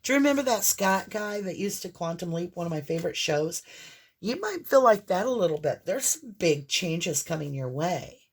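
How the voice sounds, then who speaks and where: Hoarse chatty woman reading tarot. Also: on the low side, sing-song, normal speed. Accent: mixed Southern and Northern American. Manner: chatty, rambling, talks straight to the viewer. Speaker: tarot reader.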